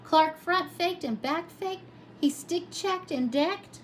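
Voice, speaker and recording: A woman reads a picture book aloud for young children. Her voice is high and expressive, and she takes it slowly. There is clear noise in the background. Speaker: pre-K teacher reading aloud. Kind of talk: expressive children's storybook reading.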